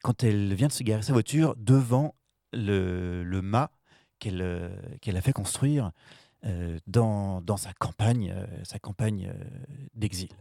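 Hesitant low-voiced male host. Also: a little slow, warm tone. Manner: hesitant, many fillers. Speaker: show host. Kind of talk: interview.

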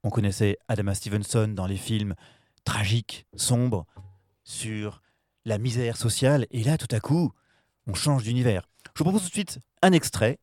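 A man's voice: lowish voice, normal speed, darkish tone, no echo, studio quality. A man hosting an interview, talking hesitantly with many fillers. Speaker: show host.

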